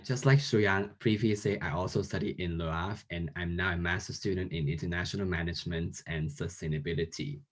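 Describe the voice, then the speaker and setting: Deep voiced guy from hong kong, 20s, calm answers. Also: brisk, few pauses. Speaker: guest. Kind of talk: casual interview answers.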